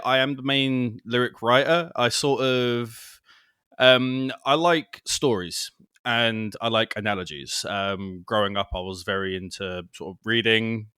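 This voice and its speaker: A man, a band's main lyricist, answering interview questions in a thoughtful, measured way. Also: medium pitch, a bit bright, smooth, no room sound, clean studio sound. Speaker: band lyricist.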